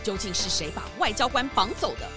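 Lively, dramatic woman storyteller. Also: mid-range voice, fairly quick, music in the background. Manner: suspenseful, dramatic. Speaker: narrator. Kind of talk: scripted children's mystery story narration.

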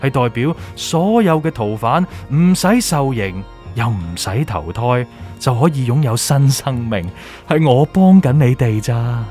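A man plays a gloating, manipulative official in a radio drama, in Hong Kong Cantonese. His mid-pitched voice swings widely as he mocks and stretches his words, dropping in English, over soft music. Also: animated, natural voice. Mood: smug. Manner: theatrical, taunting, drawn-out emphasis, drops in English words. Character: gloating, manipulative villainous male official, mocking. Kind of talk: Cantonese audio drama acting.

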